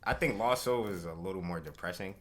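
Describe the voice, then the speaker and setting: A young man being interviewed as a recording artist, talking in a relaxed, slangy way and thinking aloud with fillers. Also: deep voice, brisk. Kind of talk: casual interview chat.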